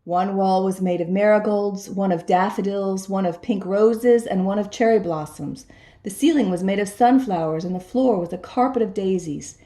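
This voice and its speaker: A woman reading a children's storybook aloud in an expressive storytelling style. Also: mid-range voice, moderate pace. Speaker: storybook reader. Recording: echoey room.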